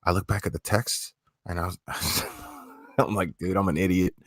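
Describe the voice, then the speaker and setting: Young male streamer, very low voice, dry close mic, loose rambling delivery. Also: fairly even intonation, normal speed, a bit bright, dry recording. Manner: rambling, repeats words, swears casually. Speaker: livestream host. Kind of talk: casual livestream chat.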